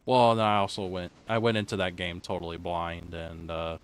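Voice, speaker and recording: A man with a very deep voice chats loosely with friends while streaming a game. He rambles and restarts his sentences as he thinks, talking into a desk mic over voice chat. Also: level tone, normal speed, clean tone. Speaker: streamer host. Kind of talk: casual chat while gaming.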